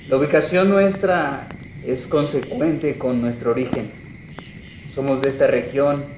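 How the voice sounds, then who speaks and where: An actor playing an earnest, militant rural guerrilla leader in a radio drama, speaking in measured political rhetoric. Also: male voice, medium pitch, natural voice. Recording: echoey, clearly noisy ambience.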